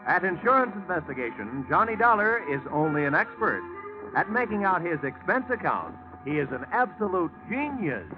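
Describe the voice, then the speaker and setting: A man's voice: high-pitched, sing-song, moderate pace. A man with an American accent announcing a 1940s radio drama in a theatrical showman's delivery. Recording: dull vintage radio recording over music.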